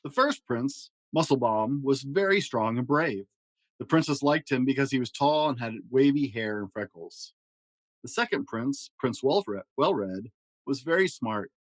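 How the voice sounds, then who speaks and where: Male storybook reader, mid-pitched, animated bedtime delivery with dramatic pauses, recorded dry. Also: dry recording, rough recording. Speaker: podcast host reading a children's storybook aloud. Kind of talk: expressive storybook narration.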